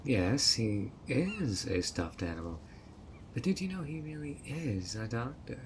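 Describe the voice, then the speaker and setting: A man's voice: mid-range voice, very animated, a little slow, slight background noise. A man voicing a sweet but obsessive male fairy who coaxes a guest in an audio roleplay, with a honeyed, playfully sly manner. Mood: sweetly scheming. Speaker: fairy host of a tea party.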